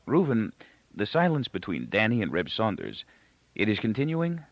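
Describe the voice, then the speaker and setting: Man as a solemn middle-aged father, audiobook dialogue, soft halting delivery, creaky, melodic intonation, dull sound. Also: on the low side, wildly swooping pitch, no echo, full character voice. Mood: grave, sad. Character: gentle, grave, thoughtful middle-aged father. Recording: dull, lo-fi, close dry recording.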